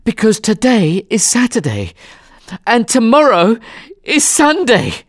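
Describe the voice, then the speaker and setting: An excitable, loyal manservant from a storybook, as a man acts him out. His voice jumps well up in pitch with urgent, breathless energy. It is recorded dry and close. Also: slow, dry recording, full character voice. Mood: breathless, excited. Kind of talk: dramatised audiobook dialogue.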